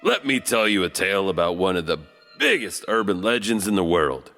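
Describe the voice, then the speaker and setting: A man's voice: low voice, very animated, a little fast, fairly bright tone, soft background music. A man narrating as an enigmatic janitor host, telling spooky tales with eerie chuckles and a drawling delivery. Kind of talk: spooky scripted horror narration.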